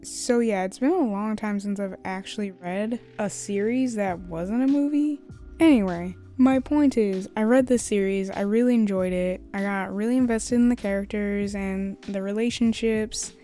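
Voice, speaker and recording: Slightly hoarse, chatty young woman. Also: mid-range voice, normal speed, mellow, faint music behind. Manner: chatty, rambling, laughs often. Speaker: art YouTuber. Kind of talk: casual voice-over while drawing. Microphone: USB studio microphone.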